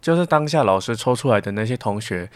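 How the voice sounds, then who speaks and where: A man's voice: mid-range voice, expressive, fast talker, clean tone, no room sound. A young man, a student guest, giving explanatory answers in a conversational interview. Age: young adult.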